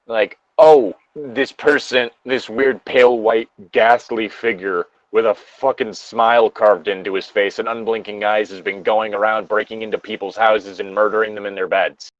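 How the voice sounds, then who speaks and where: A man's voice: fairly low pitch, steady tone, moderate pace, few pauses, dry recording, muffled recording. A man co-hosting a podcast, chatting casually and giving opinions on horror in a rambling, sweary way. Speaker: podcast co-host.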